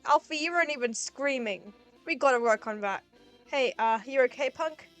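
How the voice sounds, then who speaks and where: A woman voicing a brash female fish warrior who yells and trades cocky smack talk. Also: sits high, moderate pace, faint music behind, close to an everyday voice. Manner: yelling, cocky trash talk.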